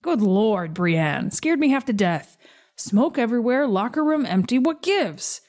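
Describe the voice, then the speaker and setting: Gruff, flustered gym coach, voiced by a woman. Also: on the high side, sing-song, a little slow, a little mellow. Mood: alarmed scolding. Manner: gruff scolding.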